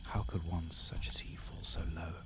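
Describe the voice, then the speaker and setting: A man reading out a disgraced, indignant noble's servant from a fantasy game, in a hushed, breathy, formal ASMR delivery. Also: low voice, steady tone, moderate pace. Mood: ashamed, bitter.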